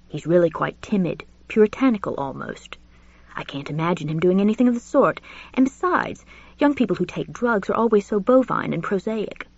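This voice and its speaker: A woman's voice: fairly low pitch, vocal fry, no echo. A woman voicing a courtly, fussy older male classics tutor in an audiobook, lilting and questioning. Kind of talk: audiobook character voice. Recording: slightly dull audiobook audio.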